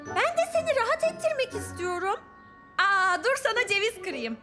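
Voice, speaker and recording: A woman voicing an eager, meddlesome, cheerful little girl in a children's cartoon, with a fussy, expressive way of talking. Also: very high-pitched, normal speed. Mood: eager, helpful. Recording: music behind the voice.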